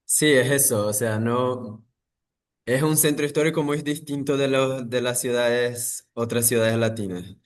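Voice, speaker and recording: Man speaking spanish, halting. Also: mid-range voice. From Brazil. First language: Portuguese. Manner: thoughtful, halting, many fillers and restarts. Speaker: architect guest. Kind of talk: interview conversation.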